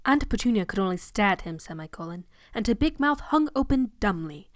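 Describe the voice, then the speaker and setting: A woman's voice: mid-range voice, expressive, brisk, no room sound, clean studio sound. A woman narrating fan fiction in a mock-dramatic reading, pointedly voicing the punctuation. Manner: pointedly voices punctuation aloud.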